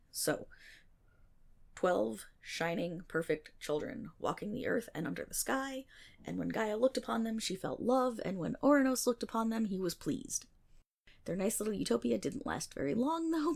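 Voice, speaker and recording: A woman playing a nerdy, teasing best friend in an ASMR roleplay, rambling through myth with dramatic pauses and wry, animated asides. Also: fairly high pitch, vocal fry, heavily acted. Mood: storytelling.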